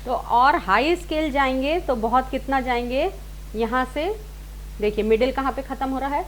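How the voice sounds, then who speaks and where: A woman's voice: sits high, moderate pace, soft background music, low-quality recording. A woman teaching a singing lesson step by step, informally rephrasing and repeating her points and mixing in English words. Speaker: singing teacher, series host.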